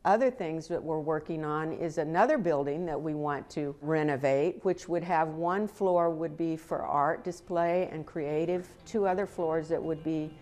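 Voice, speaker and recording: A woman's voice: sits low, normal speed, few pauses, darkish tone. An older American woman speaking informally as an interviewee, on a lapel mic in a large old building. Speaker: museum representative, interviewee. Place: large old brick livery stable, indoors.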